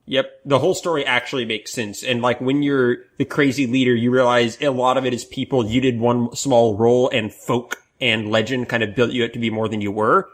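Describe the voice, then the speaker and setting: A man chatting casually about video games as a podcast co-host, telling stories with animated quoted asides. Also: mid-range voice, fairly quick, hardly any pauses.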